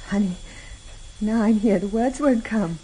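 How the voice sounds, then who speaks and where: An actress in a vintage radio drama playing a loving, betrayed wife, speaking haltingly with pleading emotion. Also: female voice, mid-range voice, dark tone, breathy edge, poor audio. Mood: tentative.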